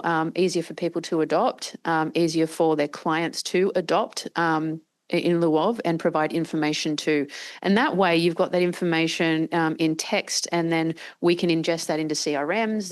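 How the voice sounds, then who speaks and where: A woman with a croaky voice, giving a long-winded panel answer full of restarts and fillers. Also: low voice. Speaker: panelist, company founder.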